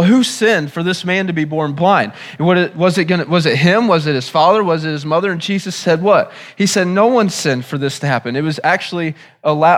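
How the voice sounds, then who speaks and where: A man preaching with a slightly higher voice than most men. He sounds a little hoarse, and he teaches in an earnest way, circling back over his phrases. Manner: earnest teaching, repeats phrases, restarts often. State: hoarse, recovering from lost voice. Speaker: pastor. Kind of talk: sermon.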